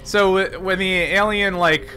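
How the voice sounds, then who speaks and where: A man's voice: high voice, fairly even intonation, unhurried, bright, forceful tone, a little hiss or hum. A man running a tabletop game as game master, describing scenes in a loose, rambling way. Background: background music.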